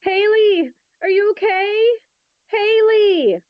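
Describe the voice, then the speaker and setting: A woman acting as a frantic young horror victim, calling out urgently. Her voice is very high and swooping, caught on a dry, dull microphone. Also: animated, slow pace, no echo, full character voice. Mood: panicked. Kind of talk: script table-read acting.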